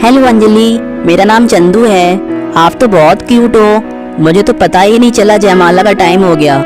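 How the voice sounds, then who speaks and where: A woman plays a friendly young cartoon wedding guest full of flattery. The voice sits in a middle range. Guitar-like music is clearly audible behind it. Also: mid-range voice, normal speed, natural voice. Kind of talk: cartoon story dialogue.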